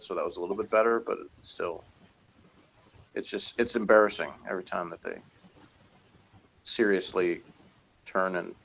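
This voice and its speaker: A man's voice: on the low side, vocal fry, slight background noise, poor audio. A man chatting casually and unscripted as he reviews a show, in a hesitant, rambling, mildly sardonic way. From outside Britain. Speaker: co-reviewer of a TV series.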